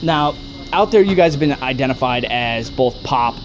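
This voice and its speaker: A man's voice: medium pitch, expressive, moderate pace, slight background noise. A man interviewing a band in a chatty, casual way. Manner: friendly, chatty, says "y'all". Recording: muffled, with loud music behind.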